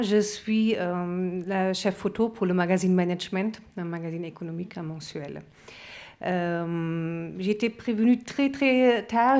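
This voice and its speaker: A woman's voice: medium pitch, a little mellow. A woman from Germany speaking French with a German accent, giving a conference talk with many hesitations. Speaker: association president and magazine photo editor. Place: conference room.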